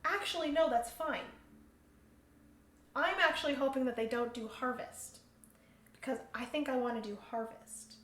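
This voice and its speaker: A woman's voice: sits high, normal speed, pauses often, fairly bright tone. A woman hosting a solo board game livestream, chatty, thinking aloud and laughing often. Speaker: host. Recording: roomy room echo, faint hum.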